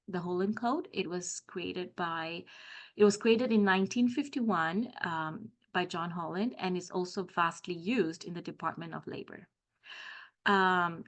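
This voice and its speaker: Middle-aged woman from Malaysia; school career coach presenting to parents, explanatory, many "um"s. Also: lowish voice. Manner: explanatory, frequent "um" fillers.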